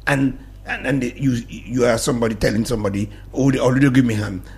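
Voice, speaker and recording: A man with a Dominican accent, a guest on a talk-radio show, speaking in emphatic, animated bursts that drop into low mutters. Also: fast. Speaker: studio guest. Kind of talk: passionate talk-radio discussion.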